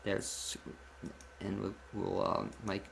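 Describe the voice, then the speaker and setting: A man hosting a radio interview show, speaking conversationally and a little haltingly between questions and announcements. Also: low-pitched, completely flat delivery, gravelly, slight background noise.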